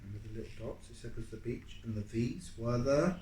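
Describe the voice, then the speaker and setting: A parent reading a picture book aloud to a young child in an expressive, dramatic, coaxing way, often pausing to ask questions. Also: mid-range voice. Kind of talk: animated read-aloud storytime with questions to a child. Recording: echoey room with background noise.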